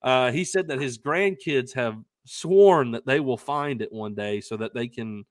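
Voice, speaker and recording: A man's voice: medium pitch, measured pace, dry recording. Young guy podcast host telling a rambly story, gets worked up and emphatic, close dry sound. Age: young adult. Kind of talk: casual anecdotal storytelling.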